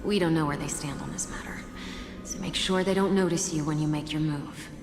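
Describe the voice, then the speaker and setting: Woman acting as game schemer, unruffled, self-assured, lowish pitch, echoey hall. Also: steady tone, brisk, lightly acted. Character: confident, unruffled female schemer giving orders. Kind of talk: dramatic video game acting. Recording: echoey hall reverb, music behind.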